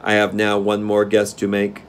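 A man voicing a loyal, plain-thinking machine man in a measured, matter-of-fact storybook manner. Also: on the low side, monotone, natural voice. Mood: polite.

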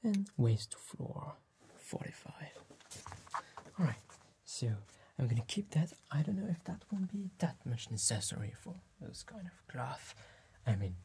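A young man performs an ASMR tailor roleplay in a breathy, mellow whisper. He speaks very slowly and politely, with measured gentleness. The recording is close, with faint noise behind him. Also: fairly high pitch, very animated. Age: young adult. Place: small indoor tailor workshop set.

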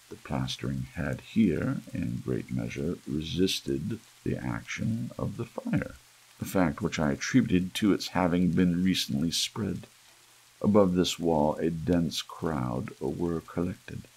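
A man reading a gothic story as an ASMR audiobook in a gravelly, husky voice, with measured, dramatic phrasing and pauses. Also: a bass voice, low-quality recording. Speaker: audiobook narrator. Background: soft rain.

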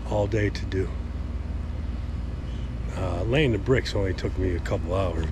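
A man's voice: lowish voice, background noise. A middle-aged man in a casual walk-and-talk vlog, filmed outdoors in a suburban backyard on an action camera held on a selfie stick, talking in a rambling, upbeat way. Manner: rambling, upbeat, self-correcting. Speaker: landscaper vlogging a garden build.

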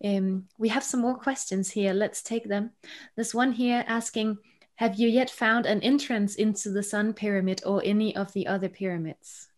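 A woman's voice: mid-range voice, steady tone, normal speed. A woman in her thirties hosting a webinar discussion over a video call, with hesitant phrasing. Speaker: webinar host. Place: indoor office, on a video call.

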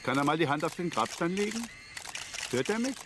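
An actor playing a cynical, smug newspaperman with a gravelly, husky voice and a theatrical, mocking delivery in a radio play. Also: fairly high pitch, sing-song, brisk, noisy background. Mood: bossy. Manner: sardonic, theatrical.

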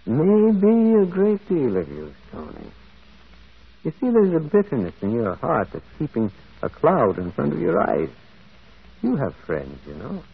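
A gentle, thoughtful priest detective of old radio mystery. His voice is gravelly and husky, and he stammers as he muses. The sound is tinny and narrow, like an old broadcast. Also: fairly high pitch, wildly swooping pitch, unhurried, dry recording, full character voice. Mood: gentle, probing. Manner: hesitant, stammering, musing. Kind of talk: old radio drama acting.

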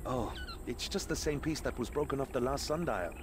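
A man playing an eager, adventurous young desert companion in a video game, with a dramatic, expressive delivery. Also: medium pitch, a little fast, background noise, lightly acted. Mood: curious. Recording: game music behind the voice. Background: background music.

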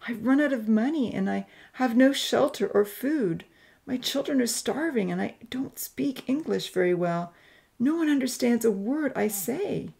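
A timid young refugee mother from a storybook, acted out by a woman narrator. Her voice is pitched high and sounds fragile with emotion, hesitant and pleading. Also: animated, normal speed, vocal fry, darkish tone. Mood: desperate. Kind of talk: audiobook character dialogue.

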